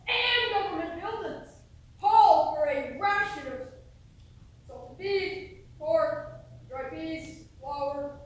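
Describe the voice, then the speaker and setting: An echo-heavy, distant theatre recording of a woman playing a young Irish emigrant. The voice is very high, eager and dramatic, with faint noise around it. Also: full character voice. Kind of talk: stage play acting. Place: theatre stage, picked up from afar. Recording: echoey hall, distant mic, faint noise.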